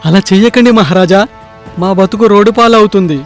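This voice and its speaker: A self-justifying, grasping moneylender from a cartoon folk tale, acted by a man. He talks insistently in a high male voice over quiet music. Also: a little fast. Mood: anxious.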